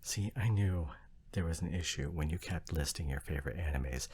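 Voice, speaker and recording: Male actor as snobbish young geek boyfriend for audio roleplay: low, husky, exasperated, halting but emphatic. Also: darkish tone. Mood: exasperated, flustered. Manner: halting, repeats words, sighing emphatic rant. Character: exasperated, flustered young anime fan boyfriend, opinionated and snobbish. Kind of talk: ASMR boyfriend roleplay monologue, argumentative.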